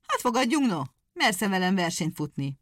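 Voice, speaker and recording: A sly little male hedgehog with crooked legs, homely and stubborn, his voice lifting a bit above the teller's, as a woman puts him on. He speaks in a lively, bouncing storybook tone. The sound is dry and close to the mic. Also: medium pitch, dry recording.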